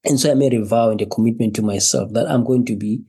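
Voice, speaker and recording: A man from Zimbabwe, a podcast guest telling his personal story in a halting way, repeating words and laughing between phrases. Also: mid-range voice, warm tone, breathy edge.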